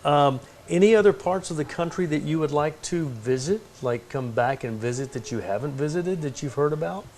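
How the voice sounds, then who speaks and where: A man's voice: fairly high pitch, normal speed, a little mellow, a little hiss or hum. A middle-aged man from Texas, interviewing a guest in a rambling, easygoing way. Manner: easygoing, rambling questions with fillers. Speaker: TV station host, interviewer. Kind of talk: casual interview. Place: tv station room.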